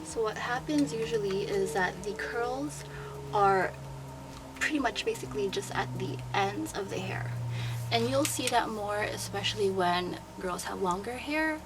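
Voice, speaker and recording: Hoarse young woman explaining a hair tutorial. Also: mid-range voice, measured pace. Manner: chatty step-by-step explaining. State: hoarse from a sore throat. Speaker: beauty vlogger and hairstylist. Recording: noticeable background noise.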